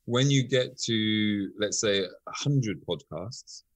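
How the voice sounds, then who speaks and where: Low-voiced man giving eager advice. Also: takes it slowly. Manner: enthusiastic, explains with examples. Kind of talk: conversational interview advice.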